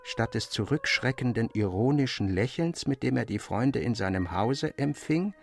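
A man narrating a literary tale from a script in a measured, formal way. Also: mid-range voice, expressive, creaky. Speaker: audiobook narrator.

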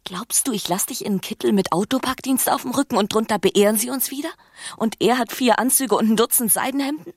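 An actress playing a bitter, passionate, scheming young wife in a naturalistic radio drama, speaking with urgent intimacy and slipping into whispers. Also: female voice, fairly high pitch, fast talker, no echo, clean studio sound, natural voice. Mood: scornful, urgent.

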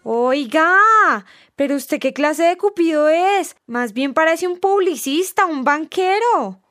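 A Colombian-accented woman with a high voice, recorded close and dry. She delivers scripted radio dialogue with sardonic, scolding indignation. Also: expressive, slow pace, no echo. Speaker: radio presenter.

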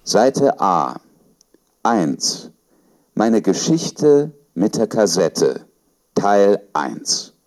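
A man announces scripted titles extremely slowly. His lowish voice swings widely in pitch from word to word. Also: big pitch swings, a little mellow. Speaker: chapter announcer.

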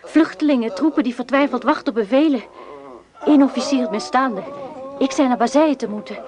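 Actress as firm, brave woman, fairly high voice. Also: a little fast, a crowd in the background, close to an everyday voice. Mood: weary, resolute. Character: brave, composed, sensible married woman in wartime. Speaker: actress in a radio play. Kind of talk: radio drama acting.

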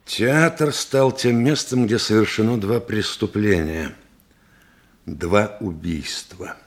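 A male actor playing a composed, thoughtful doctor turned detective in a Russian radio play, speaking in a deliberate, measured way. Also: on the low side. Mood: composed, firm. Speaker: lead actor, also voicing his thoughts.

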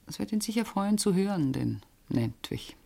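A woman's voice: medium pitch, normal speed, creaky. An actress playing a sensible, dryly teasing middle-aged woman in a naturalistic radio drama. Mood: teasing, wry. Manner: dry, teasing, expressive. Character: wry, sensible middle-aged woman, loyal longtime friend.

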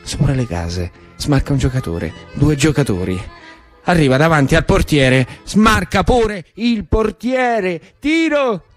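A man with a Roman Italian accent performing a colloquial theatrical monologue for radio as an animated storyteller. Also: on the high side, a little slow, soft background music. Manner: animated, colloquial, shifting between narration and characters. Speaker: actor and storyteller.

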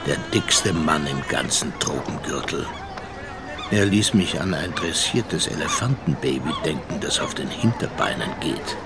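A man's voice: rumbling low, animated, unhurried. A male actor playing a reflective, wry seaman who tells his memories in a radio drama. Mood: musing, wry. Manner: musing, storytelling, sardonic. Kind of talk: radio drama first-person narration. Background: music.

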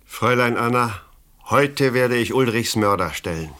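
An actor playing an earnest, ambitious detective in a German radio play, speaking formally and with urgency. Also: male voice, mid-range voice, normal speed, a bit bright, lightly acted. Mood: tense, hopeful. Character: earnest, ambitious male detective courting a woman.

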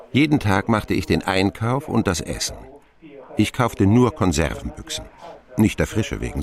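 A man's voice: fairly low pitch, creaky, exaggerated voice. An actor playing a middle-aged man who wryly recalls his boyhood as narrator of a radio play. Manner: wry, expressive storytelling. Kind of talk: scripted first-person narration, radio play.

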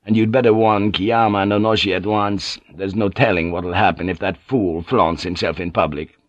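A man's voice: deep voice, fairly even intonation, moderate pace, no echo, lo-fi sound. A man reading an audiobook and voicing a stern, commanding church leader. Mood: grave. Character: stern authoritative older male church leader.

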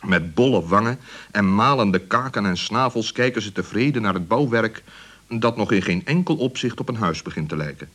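A man narrating a scripted children's radio story as an animated storyteller. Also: lowish voice, normal speed.

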